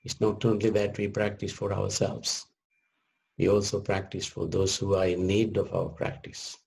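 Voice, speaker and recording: A man leading a guided meditation as a teacher, speaking gently in short measured phrases with long pauses. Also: deep voice, level tone, gravelly.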